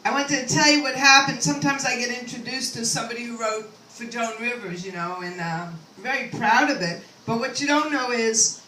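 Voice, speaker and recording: A woman's voice: fairly high pitch, fairly quick, few pauses. An older woman doing a rambling, self-deprecating stand-up comedy set and chatting with the audience. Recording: roomy, distant mic, faint noise.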